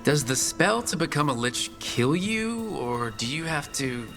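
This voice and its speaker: An actor playing a sceptical young adventurer hero in a video game, with a casual, wry, questioning manner. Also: male voice, a little high, very animated, measured pace. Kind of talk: conversational video game dialogue. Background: game music.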